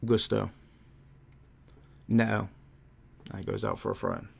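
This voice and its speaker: Young man, watchalong host, casual football commentary, low voice, gravelly, rambling. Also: wildly swooping pitch, slow. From England. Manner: chatty, rambling with fillers, answers chat questions.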